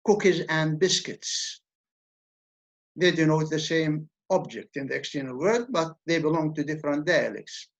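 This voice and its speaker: Man lecturing, lo-fi. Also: a little high. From Levant. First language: Arabic. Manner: measured, explanatory, repeats words when restarting. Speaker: university lecturer. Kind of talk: academic lecture. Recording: dull lo-fi recording.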